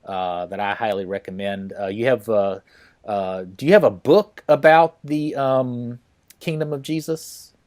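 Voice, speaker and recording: A man hosting a podcast interview, talking casually and haltingly with many fillers and restarts. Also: mid-range voice, fairly even intonation, a little mellow. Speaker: podcast host.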